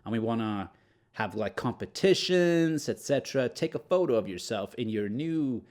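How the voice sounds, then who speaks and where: A man's voice: medium pitch, lively intonation, moderate pace, a little mellow. A man co-hosting a podcast in casual chat, joking and playful, with frequent fillers. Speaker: podcast co-host.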